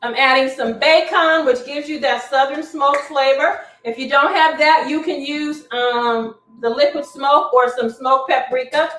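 A woman's voice: high voice, fairly even intonation, measured pace, hardly any pauses, fairly bright tone, slight background noise. A middle-aged woman hosting a live cooking demonstration from her home kitchen, chatty and instructive as she cooks. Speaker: cooking show host. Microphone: far camera across the kitchen. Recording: roomy kitchen from far off.

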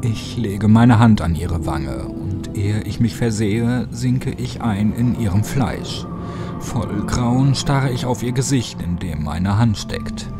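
Slow, low-voiced man narrating horror over music. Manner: theatrical, drops into hushed whispers. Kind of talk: dramatic scripted first-person horror narration. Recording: music behind the voice.

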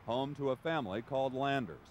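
A man's voice: medium pitch, fairly quick, muffled recording. Male news reporter, mid-range voice, scripted feature narration over faint background noise.

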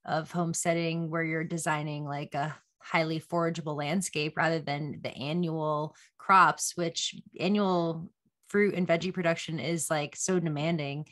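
A young woman co-hosts from home over a laptop webcam, her voice very low for a woman. She chats in long run-on sentences and fills them with "like". The tone is friendly and casual. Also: steady tone, moderate pace, a bit bright. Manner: chatty, lots of "like", run-on sentences. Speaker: co-host. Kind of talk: casual video-call conversation. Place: home room, indoors. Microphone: laptop webcam on a video call.

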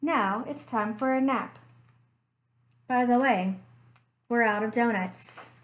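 Woman reading a proud tomcat detective from a picture book, smug and slow. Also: on the high side. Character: proud little male bakery cat detective.

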